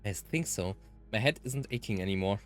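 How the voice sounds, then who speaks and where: Young male visual novel hero acted by a man, earnest, easily flustered, animated pitch. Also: brisk, faint music behind, natural voice. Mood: hesitant.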